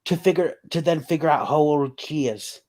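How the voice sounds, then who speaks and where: A man's voice: fairly high pitch, normal speed, a little mellow. A man around thirty chatting on a livestream, working out dates aloud and restarting his sentences. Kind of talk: casual chat.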